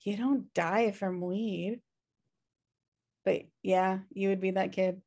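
A woman's voice: medium pitch, steady tone, takes it slowly, darkish tone. A woman playing a chill, messy, sarcastic twin sister in a comedy table read on a video call. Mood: teasing. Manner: wry, sarcastic. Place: home room, video call.

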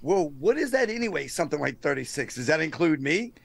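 A man playing an aggravated, jealous young store clerk with a gravelly, husky voice in a comic table read. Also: a little high, big pitch swings, a little fast, a little hiss or hum. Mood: incredulous. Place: indoor room on a video call. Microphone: close microphone on a video call.

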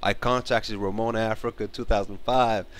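Moroccan guy in his 30s on a headset in his bedroom, lowish voice, keeps restarting his sentences. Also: steady tone, fairly quick. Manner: earnest, repeats and restarts phrases, gestures while talking. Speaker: vlogger. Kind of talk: casual spoken commentary to camera. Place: bedroom, small indoor room. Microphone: headset mic.

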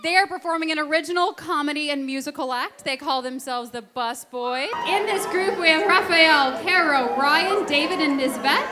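A woman's voice: high-pitched, moderate pace, few pauses, music in the background. A woman giving a stage introduction as an event announcer. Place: large theatre. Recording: echoey hall with loud crowd.

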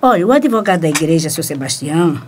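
Actress as a folksy, lively Brazilian ex-schoolteacher. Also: female voice, sits low, fast talker, slight background noise. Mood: chatty. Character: friendly, homely female former schoolteacher with plans. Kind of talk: radio soap opera acting. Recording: clattering dishes behind the voice.

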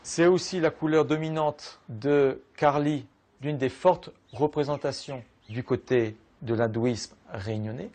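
A middle-aged man explaining things in measured, lecturing tones during an interview indoors. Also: medium pitch, darkish tone. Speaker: interviewed expert.

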